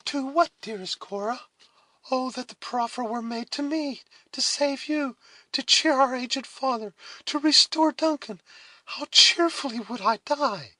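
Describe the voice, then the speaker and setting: Guy doing a sweet scared little sister in an audiobook, really high shaky pleading voice, breathy edge. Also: unhurried, pushed and bright, no room sound, exaggerated voice. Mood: fervent pleading. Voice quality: high, trembling. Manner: earnest, pleading. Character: gentle, trembling young girl, devoted younger sister.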